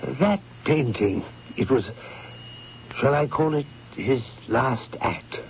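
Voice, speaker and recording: An actor playing a solemn man slowly breaking bad news in a 1970s radio drama. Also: mid-range voice, lively intonation, gravelly. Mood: grave. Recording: dull old radio broadcast, faint hiss.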